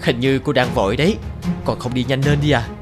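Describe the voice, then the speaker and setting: An aloof young male boss from a cartoon, acted by a man, composed and curt. He speaks formally at a medium pitch over background music. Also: fairly even intonation, fairly quick, natural voice. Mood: cool. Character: cool, aloof young male company director. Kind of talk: animated cartoon dialogue. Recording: music under the voice.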